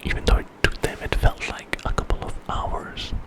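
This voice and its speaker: A man telling a bedtime story in ASMR style, in a breathy whisper and an informal, conversational way. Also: normal speed. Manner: informal retelling with quoted dialogue.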